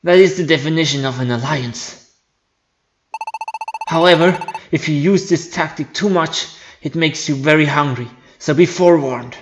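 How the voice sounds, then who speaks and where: A man's voice: fairly high pitch, moderate pace, muffled recording, background noise, natural voice. A man voicing a pompous, boastful creature vice principal who lectures his class in an amateur game dub. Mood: lecturing. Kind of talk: amateur video game voice-over.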